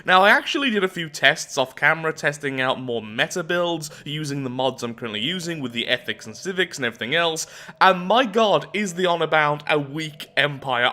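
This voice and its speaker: Bright-voiced man commentating a game. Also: on the high side. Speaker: gaming video host. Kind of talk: casual roleplay playthrough commentary. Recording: music behind the voice. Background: background music.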